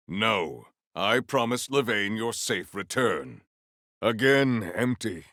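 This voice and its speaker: A proud warrior from a video game, with a low voice that swings widely in pitch. His diction is formal and stately, and he carries himself as righteous and eager for battle. The sound is gravelly and very dry. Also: a bit bright, no room sound, exaggerated voice. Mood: wry, measured. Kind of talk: video game character voice lines.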